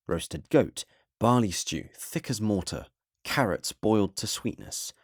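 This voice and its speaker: A man with a deep voice narrates a fantasy tale as relaxing bedtime listening. His delivery is measured and solemn, with a literary storyteller's phrasing. The sound is very dry, free of room echo. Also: darkish tone.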